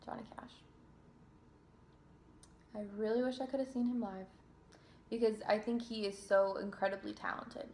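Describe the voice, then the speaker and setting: Young woman, from New Jersey, casual Q&A, chatty, self-deprecating, mid pitch, echoey room. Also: steady tone, normal speed, pauses often, a little mellow, a little hiss or hum. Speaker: singer and vlogger. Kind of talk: casual Q&A reading viewer questions. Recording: roomy echo.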